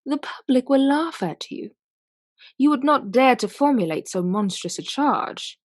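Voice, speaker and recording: A woman playing a haughty, defiant society lady accused of murder in a radio mystery, with a disdainful, deliberate delivery. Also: high-pitched, animated. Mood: defiant, scornful. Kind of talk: period mystery radio play acting.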